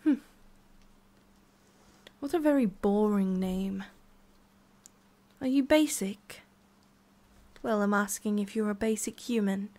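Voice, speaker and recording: An imperious tsundere witch, centuries old and disdainful of humans, performed by a woman. She speaks slowly at a middling female pitch, addressing the listener directly in an ASMR roleplay. Her manner is condescending and scolding, with theatrical pauses. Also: dark tone, close to an everyday voice. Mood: scornful.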